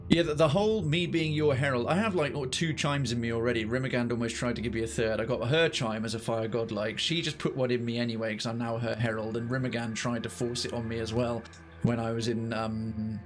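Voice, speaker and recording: A man's voice: medium pitch, fairly even intonation, a little fast. A man doing casual let's play commentary and chatting to viewers in a wry, rambling way. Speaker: game streamer. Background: faint game music.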